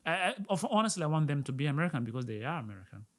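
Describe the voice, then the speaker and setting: A man's voice: fairly high pitch, sing-song, very fast. A middle-aged man from Burundi, a restaurant owner talking earnestly as an interview guest, often repeating words to stress a point. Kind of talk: casual interview talk.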